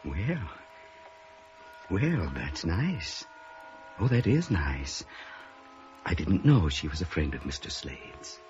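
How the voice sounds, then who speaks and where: A man's voice: deep voice, very animated, takes it slowly, long pauses, a little mellow, a little hiss or hum, exaggerated voice. A man acting a courteous, chatty funeral parlor attendant in a 1950s radio drama, genteel and hesitant. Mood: flustered, pleased. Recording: muffled, humming old radio recording.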